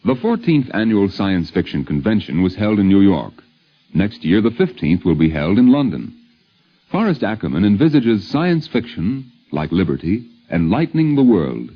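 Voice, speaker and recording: A man reading a scripted radio documentary in a measured, wry, dramatic manner. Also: lowish voice, sing-song. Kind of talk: scripted narration, 1950s radio. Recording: muffled 1950s radio broadcast with music.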